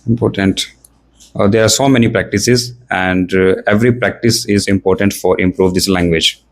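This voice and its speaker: A man's voice: low voice, steady tone, normal speed. Hesitant young Indian man learning English. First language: Hindi or another Indian language. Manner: hesitant, searching for words, many fillers. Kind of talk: casual practice conversation.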